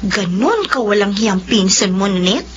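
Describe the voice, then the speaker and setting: A woman's voice: mid-range voice, animated, measured pace, close to an everyday voice. An actress playing a young woman's caring best friend, indignant on her behalf in a radio drama. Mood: concerned. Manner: concerned, indignant. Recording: dull lo-fi recording with faint noise.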